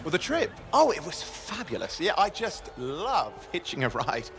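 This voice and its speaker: A man playing an excitable, sarcastic young pilot sidekick in a video game cutscene, chatty and dramatic in delivery. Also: a little high, moderate pace.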